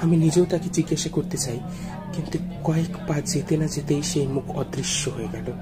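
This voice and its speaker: A man narrating a first-person ghost story in a brooding, reflective, measured way. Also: fairly high pitch, over loud music.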